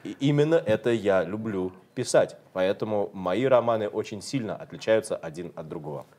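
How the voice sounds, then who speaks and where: A man's voice: lowish voice, expressive, brisk, darkish tone. A man interpreting an interview consecutively, translating steadily with occasional hesitations.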